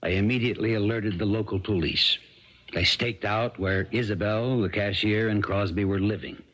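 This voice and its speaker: An actor in a 1970s radio drama playing a calm, persistent small-town sheriff who asks halting questions. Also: male voice, on the low side. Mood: stern. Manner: hesitant, halting questions with ums and uhs. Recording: dull 1970s radio broadcast, music behind.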